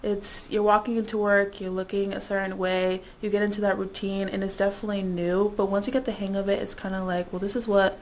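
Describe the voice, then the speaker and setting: A woman's voice: medium pitch, flat delivery, a little fast, hardly any pauses, a little mellow, slight background noise, rough recording. A woman in her twenties, born in the Dominican Republic and raised in Indiana, talking casually about her internship as an interview guest. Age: 20s.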